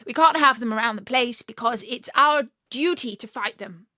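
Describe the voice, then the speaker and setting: A woman's voice: a little high, normal speed, pushed and bright, dry recording, studio quality. A woman voicing a noble, self-important male frog who speaks like a proud prince in a children's storybook. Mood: proud, earnest.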